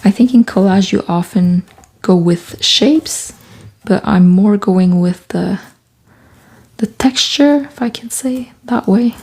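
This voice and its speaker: Soft, slow-talking woman. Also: medium pitch, husky. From Quebec, Canada. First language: French. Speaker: artist and author vlogger. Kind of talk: casual talk while doing collage. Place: small home studio room.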